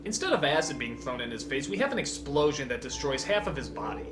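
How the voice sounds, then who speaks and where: A man's voice: mid-range voice, fast talker, very noisy. A man narrating a scripted comedic review in an emphatic, exclamatory way. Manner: animated, emphatic, exclamatory, profane. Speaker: video reviewer and countdown host.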